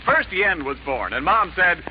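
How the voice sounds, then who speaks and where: A man's voice: high-pitched, very animated, a little slow. Hearty 1940s radio announcer man. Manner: hearty, upbeat announcer delivery. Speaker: announcer on a radio comedy show. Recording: lo-fi 1940s radio broadcast with faint hiss.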